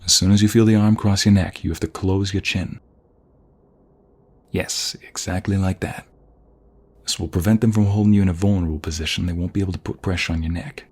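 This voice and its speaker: A man playing a protective, flirtatious mobster husband in an ASMR roleplay, gravelly and husky, coaxing his partner with affection. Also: low-pitched, steady tone, brisk, a little mellow. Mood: patient, instructing.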